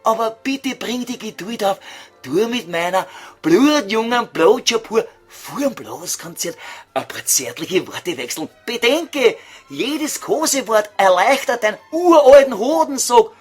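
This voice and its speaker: A man's voice: high-pitched, very animated, unhurried, breathy edge, soft background music, full character voice. A man voicing a sly, crude devil who coaxes and mocks in Viennese dialect, in an audio comedy sketch. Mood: placating, sly. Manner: coaxing, vulgar, mocking.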